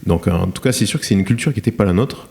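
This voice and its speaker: Middle-aged man, deep and warm, halting and reflective interview guest. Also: gravelly. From France. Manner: hesitant, many fillers and restarts. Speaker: guest, cement plant director. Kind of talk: podcast interview, reflective storytelling.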